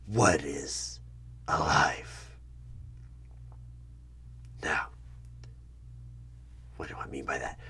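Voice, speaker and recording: A man playing a sinister, smug evil tempter in a horror ASMR roleplay, speaking deliberately in a husky voice with dramatic pauses and frequent whispers. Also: a bass voice, fairly bright tone, full character voice. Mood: sly, philosophical. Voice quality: husky, breathy.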